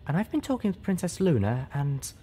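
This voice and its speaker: A man voicing a gentle, soft-spoken female pony who sounds weakened and short of breath. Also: fairly high pitch, very animated, brisk, slightly rough, pitched above the performer's own voice. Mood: pleading.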